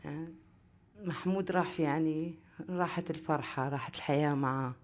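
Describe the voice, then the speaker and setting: A woman speaking on a panel about losing her son, slow and halting with grief. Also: low voice, a little mellow. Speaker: panel guest, bereaved mother. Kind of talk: speaking through an interpreter.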